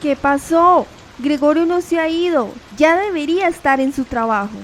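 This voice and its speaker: A woman's voice: high voice, normal speed, a little hiss or hum, close to an everyday voice. A woman playing a caring, anxious young sister in an amateur radio play. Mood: concerned.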